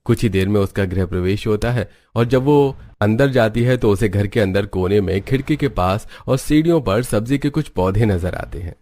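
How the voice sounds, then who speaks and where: Man, low-pitched voice, scripted story narration, moral tale. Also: normal speed, few pauses, warm tone, no room sound.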